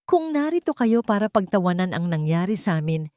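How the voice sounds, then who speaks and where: A woman's voice: on the low side, big pitch swings, brisk, warm tone, smooth, dry recording, clean studio sound. A woman with a Filipino accent voicing a wounded, defiant wronged heroine in an audiobook drama, with a hard, guarded manner. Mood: hard, suspicious.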